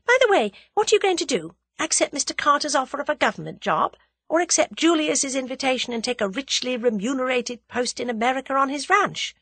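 A woman's voice: high-pitched, lively intonation, brisk, a bit bright, dry recording, pitched above the performer's own voice, heavily acted. A woman voicing a spirited, teasing young woman in love in an audiobook, playful and eager. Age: young adult. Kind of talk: audiobook character dialogue.

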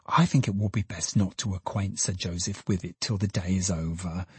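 A man voicing an agitated, secretive gentleman secretary in an audiobook, speaking gravely and deferentially. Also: low voice, steady tone, moderate pace, no echo. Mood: grave, confidential. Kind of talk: dramatized audiobook character voice.

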